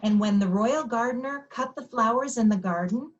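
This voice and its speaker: A woman's voice: fairly high pitch, moderate pace, lo-fi sound, lightly acted. A woman playing a confident little princess girl in a storybook readers theater. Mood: matter-of-fact.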